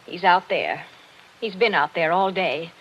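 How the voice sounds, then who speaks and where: An actress playing a hurt, bitter wealthy wife in a 1940s radio drama, speaking in a genteel, wistful way. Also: female voice, fairly low pitch, measured pace, close to an everyday voice. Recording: muffled old radio broadcast with hiss.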